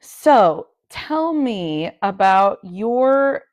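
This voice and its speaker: A woman's voice: mid-range voice, sing-song, very slow, darkish tone, no room sound. A woman interviewing a guest in a casual, supportive way, often saying "you know".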